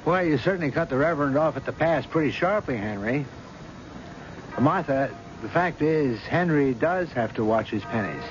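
An actor playing a frank, folksy lawyer friend in a radio drama, candid and mildly chiding. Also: male voice, fairly high pitch, expressive, normal speed, over music, natural voice. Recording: muffled old radio broadcast, faint noise.

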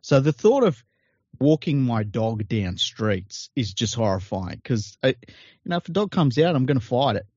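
A man from Western Sydney with an Australian accent, co-hosting a casual sports podcast, blunt and quick to swear and laugh at his own jokes. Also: mid-range voice, very animated, darkish tone, no echo, lo-fi sound. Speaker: podcast co-host.

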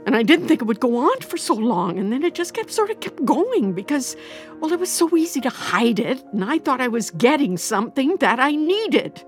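An actress playing an anxious, regretful middle-aged mother in an audio drama, halting and justifying herself as she confesses. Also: sits high, sing-song, soft background music. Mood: regretful, explaining. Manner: halting, self-justifying, repeats and trails off. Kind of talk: naturalistic audio drama acting.

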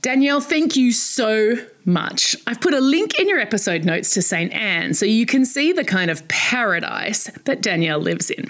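A woman's voice: fairly high pitch, very animated, normal speed, a bit bright. A woman presenting a scripted educational podcast for children, speaking directly to young listeners with playful asides. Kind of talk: scripted educational narration for kids.

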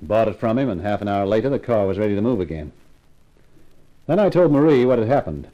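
An actor playing a tough, cocky private eye with slangy, hardboiled delivery in a 1950s radio crime drama. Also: male voice, fairly low pitch, expressive, quick pace, muffled recording, natural voice. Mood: wry narration. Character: tough, wisecracking, confident male private eye. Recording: vintage 1950s radio recording.

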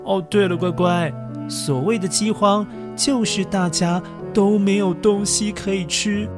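A man tells a children's bedtime-style story in a gentle, coaxing voice, talking straight to young listeners. He leans into playful sound effects and drawn-out words. Clear music plays underneath throughout. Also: high-pitched. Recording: narration over backing music.

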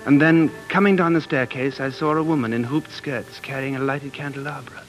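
A man acting as an earnest dreamer haunted by the past, with a mid-pitched voice and an intense, dramatic delivery. He sounds like a 1940s radio play narrator, with music in the old broadcast. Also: fairly even intonation, a little fast, lightly acted, rough recording. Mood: hushed, suspenseful.

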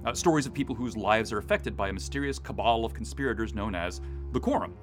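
A man co-hosting a podcast as a director, explaining things in measured phrases in a conversational, loosely scripted style. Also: on the low side, faint music behind. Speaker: audio drama director, co-host.

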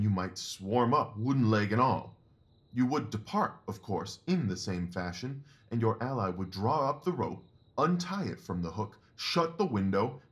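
Guy doing a victorian detective for a radio style drama, lowish voice, precise and commanding, explains everything step by step. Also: natural voice. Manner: deliberate, didactic, precise. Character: keen, commanding male Victorian detective, methodical and self-assured. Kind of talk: audio drama acting.

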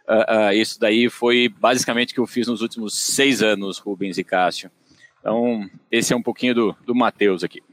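A middle-aged Brazilian man with a caipira accent from inland São Paulo, speaking in a measured way with many hesitations as a guest in an interview. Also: on the low side, fairly even intonation. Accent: countryside São Paulo (caipira). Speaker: guest, company director. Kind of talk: conversational interview.

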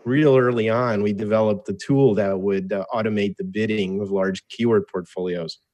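An American man in his fifties speaks in a fairly low voice. He tells autobiographical stories in a casual, conversational way. Dry, joking asides colour his delivery as an interview guest. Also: steady tone, normal speed, a little mellow. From born in Soviet Russia, grew up in the United States from age eight. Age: 50s. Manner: conversational, wry, jokey asides. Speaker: interview guest, entrepreneur and author.

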